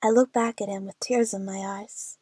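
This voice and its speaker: A woman's voice: medium pitch, fairly even intonation, normal speed, warm tone, breathy edge, dry recording, close to an everyday voice. A woman playing an anxious, lovestruck young woman who narrates her adventure earnestly in an audio drama.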